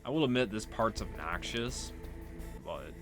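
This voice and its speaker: A man's voice: on the low side, lively intonation, measured pace. A man giving casual, offhand and sweary commentary as he plays through a video game. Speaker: let's player. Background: loud video game music.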